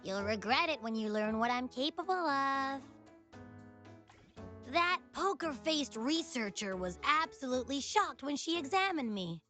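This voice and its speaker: A tiny magical game creature, cute and very proud of itself. The voice is high and takes its time. It hums and boasts with haughty confidence over faint music. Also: female voice, animated, unhurried, bright, forceful tone, heavily acted. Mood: smug, boastful. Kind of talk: cute video game voice acting.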